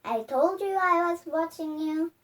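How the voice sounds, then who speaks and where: A young girl voicing a spiteful, suspicious old wife from a storybook, slow and threatening. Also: very high voice, a bit bright, pitched above the performer's own voice. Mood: gloating. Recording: distant microphone.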